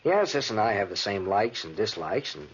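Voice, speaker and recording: A man's voice: on the low side, big pitch swings, normal speed, husky. A man playing a self-assured, teasing bachelor writer in a 1940s radio drama, with a breezy, bantering manner. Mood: breezy, confident. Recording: dull lo-fi 1940s radio recording.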